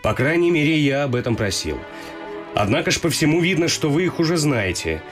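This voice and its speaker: Courtly, easily exasperated gentleman suitor. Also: a little high, big pitch swings, brisk, music in the background. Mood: earnest. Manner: courtly, mannered, with muttered asides. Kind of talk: stage vaudeville radio play acting. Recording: musical backing in a radio play.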